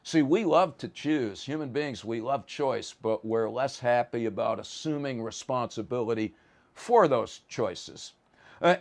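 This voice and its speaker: An American man from the Bronx, a professor giving an informal lecture in an emphatic, wry, colloquial way. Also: medium pitch. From the Bronx, New York, USA. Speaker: psychology professor.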